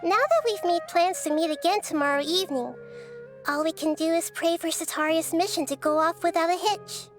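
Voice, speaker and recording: A woman playing a gentle, wise little girl deity in a video game, with a thoughtful manner. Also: very high-pitched, brisk. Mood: pleased, encouraging. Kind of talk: scripted video game voice acting. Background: music.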